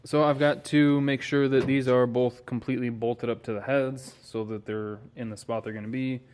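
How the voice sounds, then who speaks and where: A man's voice: medium pitch, flat delivery, normal speed, a little mellow, smooth. An American man narrating a garage car-build vlog informally, thinking aloud as he works. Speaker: car builder and video host. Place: home garage.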